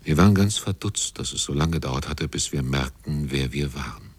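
A man narrating a literary radio drama as a measured storyteller. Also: rumbling low.

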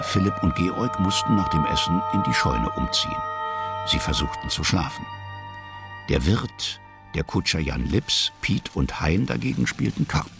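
An actor playing the storyteller, narrating a German children's radio play. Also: male voice, a bass voice. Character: male storyteller.

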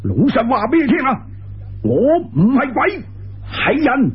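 A man's voice: extremely high pitch, huge pitch swings, unhurried, husky, dull audio, pitched above the performer's own voice, full character voice. A man playing a righteous, hot-blooded Taoist priest with a Cantonese accent, earnest and emphatic, in a told folk tale. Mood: indignant.

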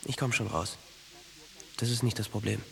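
Ein Schauspieler in einem Hörspiel spielt einen verträumten jungen, traumatisierten Soldaten, der in kurzen, schlichten Sätzen erzählt. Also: male voice, lowish voice, steady tone, moderate pace, vocal fry, a bit bright, slightly rough, full character voice. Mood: calm, detached. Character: dreamy young traumatized soldier who believes he can fly. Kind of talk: naturalistic German radio drama acting.

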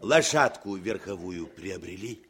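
An actor playing a genial, authoritative artillery commander with a husky voice in a Soviet radio drama. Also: male voice, sits low, expressive, measured pace, a bit bright. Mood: genial, hearty. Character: genial, brisk artillery commander among his officers.

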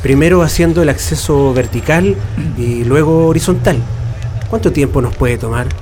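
An actor playing a cautious, methodical political prisoner plotting an escape, with a Chilean accent and deliberate, halting delivery in a radio drama. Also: male voice, a little high, darkish tone, slight background noise, close to an everyday voice. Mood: pondering.

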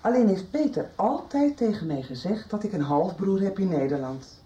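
An actress in a 1990s radio play voicing a young woman who calmly explains her family background. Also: medium pitch, moderate pace, lo-fi sound, natural voice. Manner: calm, matter-of-fact explaining. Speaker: radio drama character. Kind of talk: 1990s radio drama acting. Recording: roomy echo.

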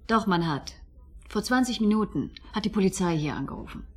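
A woman acting in a German radio drama as a solicitous partner, gently evasive and soothing, in a coaxing, halting manner. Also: medium pitch, expressive, lightly acted. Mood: careful, grave.

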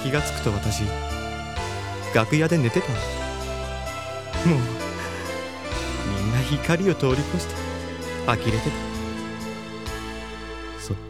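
A man's voice: medium pitch, expressive, loud music behind the voice. Flamboyant effeminate man, swooping theatrical delivery. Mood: rueful. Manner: campy feminine speech, dramatic pauses and swells. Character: flamboyant, theatrical, effeminate middle-aged male performing-arts teacher. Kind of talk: anime audio drama acting.

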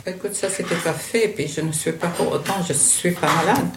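A woman's voice: on the low side, brisk, creaky, roomy sound, noisy background. An older woman from Syria, talking bluntly and dismissively with her daughter at home. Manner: blunt, dismissive, talks over her questioner. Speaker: interviewee, the producer's mother. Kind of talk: candid family conversation.

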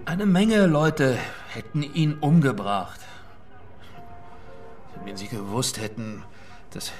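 An actor playing a young suspect under questioning in a radio crime drama, polite but terse, evasive and reluctant. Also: male voice, medium pitch, takes it slowly, faint music behind, close to an everyday voice. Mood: guarded.

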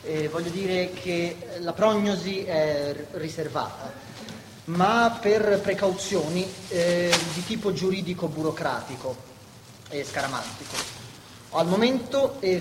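A man acting on stage as an evasive doctor who hedges a grim prognosis in halting bureaucratic language. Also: high voice. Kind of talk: live theatre acting. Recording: noisy, echoey theatre hall.

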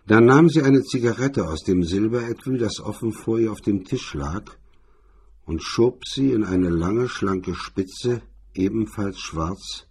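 A man with a husky, rough voice reading scripted crime fiction as a radio drama narrator. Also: on the low side, unhurried, a little mellow, a little hiss or hum.

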